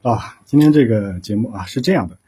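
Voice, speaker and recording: A man speaking as an official interview guest, explaining things formally in measured phrases. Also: lowish voice, lively intonation, dark tone, slightly rough.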